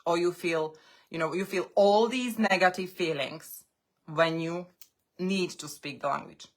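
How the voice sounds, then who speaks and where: A woman with a non-native English voice talks to language learners at an unhurried pace. She speaks in short, deliberate phrases with pauses between them. Her pitch sits in a middle range for a woman. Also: fairly bright tone. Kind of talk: live-streamed talk to language learners.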